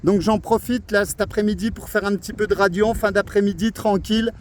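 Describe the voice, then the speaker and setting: A man with a high-pitched voice chats casually as an amateur radio operator. He is outdoors, with some steady ambient noise around him. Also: brisk. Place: outdoors on a seaside cliff.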